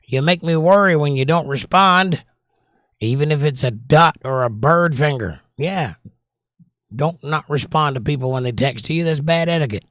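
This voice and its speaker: A slightly hoarse middle-aged man hosting a livestream, chatting casually and teasing his viewers as he reads their comments. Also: fairly high pitch, normal speed, a bit bright, no echo, studio quality. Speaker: livestream host. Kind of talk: casual chat reading viewer comments aloud.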